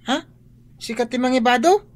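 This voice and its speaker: An actress playing an admiring young daughter in an Ilocano radio drama. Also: female voice, high voice, moderate pace, natural voice. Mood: admiring, curious. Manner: wondering, admiring.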